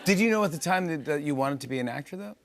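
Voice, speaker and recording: A man's voice: on the high side, lively intonation, racing, a little hiss or hum. Late-night host, male, eager, stammering restarts. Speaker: talk show host. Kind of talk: late-night talk show interview. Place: television studio with audience.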